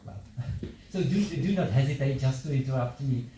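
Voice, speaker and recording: A man's voice: medium pitch, normal speed, warm tone. Man, non-native speaker, university lecture, hesitant, lots of fillers, heavy hall echo, faint background noise. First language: not English. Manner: hesitant, many fillers and restarts. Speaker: visiting lecturer. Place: large echoing lecture hall. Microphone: handheld mic at some distance. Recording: echoey hall, distant mic, faint room noise.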